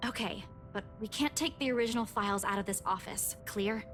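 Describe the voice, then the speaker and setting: An actress playing a prickly but caring young office worker in a visual novel, wry and insistent. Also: female voice, mid-range voice, fairly quick, fairly bright tone, close to an everyday voice. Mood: hesitant, firm. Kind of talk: visual novel voice acting, dialogue. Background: music.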